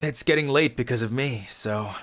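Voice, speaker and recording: A woman voicing a sleep-deprived, shy teenage schoolboy in a manga dub, soft-spoken and hesitant. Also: rumbling low, moderate pace. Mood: shy polite. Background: faint music.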